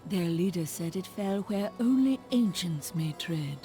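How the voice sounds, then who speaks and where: An actress playing a cold, resolute necromancer hero in a video game, terse and formal. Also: female voice, on the low side, animated, measured pace, mellow, faint music behind.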